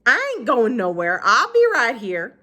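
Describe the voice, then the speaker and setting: A woman's voice: huge pitch swings, unhurried, exaggerated voice. A woman putting on a kindly old Southern woman. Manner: high, loud, drawling Southern speech. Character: old woman with bad eyes, warm and wise.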